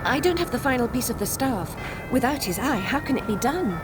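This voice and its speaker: A woman playing a sharp-tongued young heroine in a video game, sardonic, terse and formal. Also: high-pitched, big pitch swings, brisk, over music. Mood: resolute, grave. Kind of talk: video game character acting.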